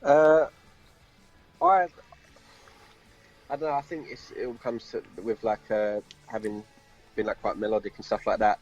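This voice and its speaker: A man's voice: fairly low pitch, steady tone, measured pace, long pauses. A man, chatting casually and hesitantly as an interviewed band member. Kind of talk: casual chat. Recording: lo-fi call, faint music behind.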